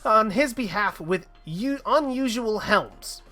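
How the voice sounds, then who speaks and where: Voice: mid-range voice, expressive, slow, pushed and bright, voice pushed up. A streamer voicing a nerdy young female blacksmith in a game, reading her lines in a stiff, formal way with a few stumbles. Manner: stiff, formal speech read aloud with stumbles.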